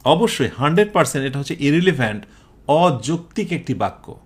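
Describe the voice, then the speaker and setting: A Bangladeshi man teaches grammar in a live exam-prep class. His voice sits a little high and moves in very lively swings of pitch. He explains step by step in a didactic way, often putting questions to his students. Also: brisk, husky.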